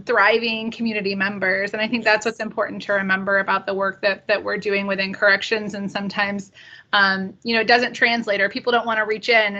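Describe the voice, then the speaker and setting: Bright-voiced woman, even pitch, dull audio. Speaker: corrections official on a committee. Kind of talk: conversational meeting remarks.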